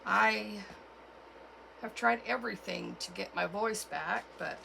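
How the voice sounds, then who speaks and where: Slow, hoarse, gravelly woman crafter. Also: medium pitch, background noise. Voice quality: froggy, gravelly. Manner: chatty, unhurried, with fillers and self-corrections. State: hoarse from a cold. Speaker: craft channel host. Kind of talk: casual craft tutorial.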